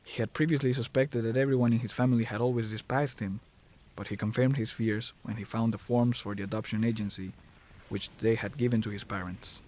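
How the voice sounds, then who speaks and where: A man's voice: fairly low pitch, fairly even intonation, fairly quick, darkish tone. A man narrating a scripted horror story as the narrator, recorded close to the mic.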